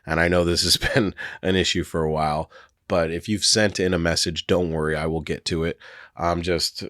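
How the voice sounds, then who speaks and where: A hoarse man with a very low voice hosts his own podcast, talking casually and rambling with plenty of fillers. He sounds worn down from a cold, and the close mic gives a dry, dead sound. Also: steady tone, normal speed, a bit bright, dry recording. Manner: rambling, full of fillers and hedges. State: hoarse, getting over a cold. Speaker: podcast host. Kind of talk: casual solo podcast talk.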